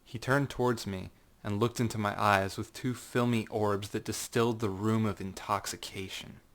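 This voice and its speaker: Male narrator for gothic short fiction, fairly low voice, measured and theatrical, telling it as a courteous gentleman bent on revenge. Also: fairly even intonation. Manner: measured, deliberate, theatrical phrasing. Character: coolly vengeful, outwardly courteous gentleman telling his tale. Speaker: audiobook narrator. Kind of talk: dramatic scripted narration of gothic story.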